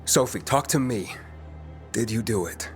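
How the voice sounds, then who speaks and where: A man's voice: lowish voice, lively intonation, measured pace, faint music behind. An actor playing a determined, defiant young male survivor in a video game, blunt and guarded. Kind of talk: video game dialogue acting.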